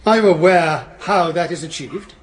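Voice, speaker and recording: An actor playing a formal, precise prosecuting barrister who questions witnesses pointedly in a radio courtroom drama. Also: male voice, fairly high pitch, moderate pace, slight background noise, natural voice.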